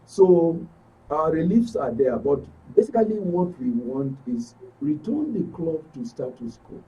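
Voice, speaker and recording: Man, Nigerian accent, very dark mellow tone, slightly high, insistent panel guest, dull distant recording. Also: very animated, a little slow. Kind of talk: argumentative studio discussion. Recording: dull, distant, faintly noisy mic.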